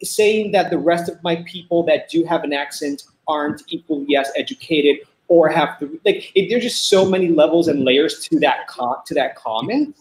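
A man's voice: moderate pace, few pauses. A man in his thirties from Puerto Rico, talking earnestly with many restarts on a video call. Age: 30s. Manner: earnest, many restarts, rising pitch when making a point. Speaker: panelist. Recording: roomy video call audio.

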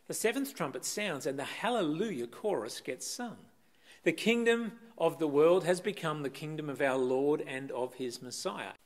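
An older man preaching a scripted online sermon as a pastor, emphatic, with dramatic pauses and rhetorical questions. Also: fairly high pitch.

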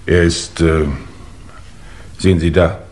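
A police chief inspector in a vintage radio mystery. The voice is low, polite and dryly matter-of-fact, composed in its delivery. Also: male voice, level tone, takes it slowly, darkish tone. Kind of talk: 1950s German radio crime play acting.

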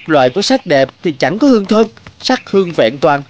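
Vain high-voiced butterfly bachelor. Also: male voice, moderate pace, a little hiss or hum. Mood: flirtatious. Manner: boastful, pompous, theatrical flattery and complaint. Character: vain, picky male butterfly bachelor seeking a flower bride. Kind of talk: cartoon character acting.

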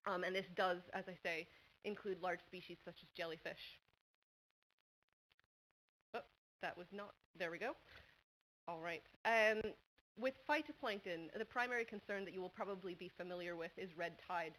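Woman, New England American accent, thirties, quick chatty lecture with ums, desk mic in a big hall, faint hiss. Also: fairly low pitch, fairly bright tone. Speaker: conference speaker. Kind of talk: academic conference talk. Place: large university lecture hall. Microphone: table microphone at a panel desk.

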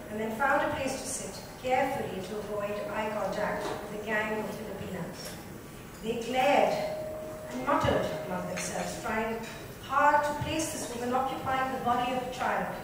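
A woman's voice: on the high side, normal speed. A woman reading a novel aloud as dramatic third-person narration. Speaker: reader. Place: club lounge with seated panel, indoors. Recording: echoey room, distant mic, clear noise.

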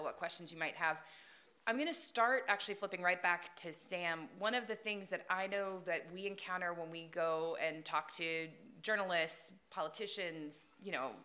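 A woman's voice: on the low side, bright, forceful tone. A woman in her forties moderating a conference panel, upbeat and conversational. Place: conference hall stage. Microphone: table microphone.

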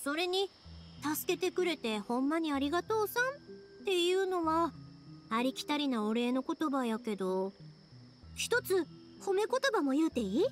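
An actress voicing a cheerful, friendly young woman in an anime game, with a Kansai accent and a breezy, expressive manner. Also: high voice, normal speed. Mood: upbeat, warm.

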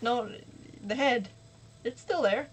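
A woman's voice: on the high side, slow, husky. A young woman chatting casually as the host of a gaming video, recorded in a room indoors. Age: young adult. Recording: noticeable background noise.

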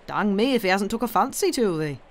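A narrator putting on a gruff old moor man. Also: medium pitch, big pitch swings, a little fast. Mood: blunt, gruff. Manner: gruff, blunt, grumbling. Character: surly, blunt old Yorkshire gardener man.

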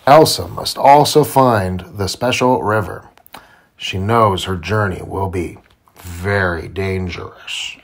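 A man reading a children's storybook aloud to his child in simple, deliberate phrasing. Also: lowish voice. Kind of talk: children's read-aloud narration.

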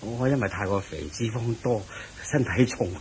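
Male actor for obese, good-natured monarch in radio drama, mid-pitched, puffing and sighing through his words. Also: moderate pace, natural voice. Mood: apologetic. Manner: huffing, sighing, stammering. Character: very fat, flustered, good-natured Indian king. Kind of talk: Cantonese radio drama acting. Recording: noisy radio drama recording.

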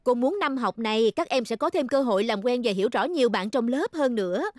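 A woman's voice: fairly high pitch, expressive, a little fast, a little hiss or hum, close to an everyday voice. A woman playing a kindly, cheerful schoolteacher in a cartoon dub, addressing children in an encouraging, instructive way.

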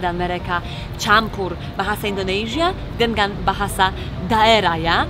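A young Polish woman with a Polish accent, chatting casually to the camera in a simple, halting second language. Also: a little high, noisy background. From Poland. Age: young adult. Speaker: vlogger. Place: outdoors on a beach.

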